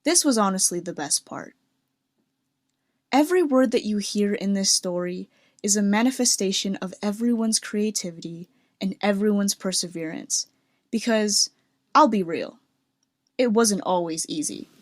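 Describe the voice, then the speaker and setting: A woman's voice: mid-range voice, lively intonation, normal speed, dry recording, studio quality. A woman giving scripted narration for a radio drama. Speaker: cast member and narrator.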